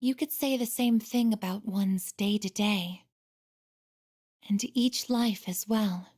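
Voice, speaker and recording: A woman playing a gentle, earnest android girl in a video game, speaking politely and formally with soft introspection. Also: medium pitch, slow, breathy edge. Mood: reflective, solemn.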